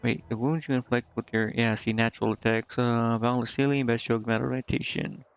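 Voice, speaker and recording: A man streaming a video game, casually thinking out loud and reading menu text to himself, with some offhand swearing. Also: mid-range voice, steady tone, brisk, smooth, lo-fi sound, soft background music. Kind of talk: casual live gameplay commentary, muttering and reading menu text aloud.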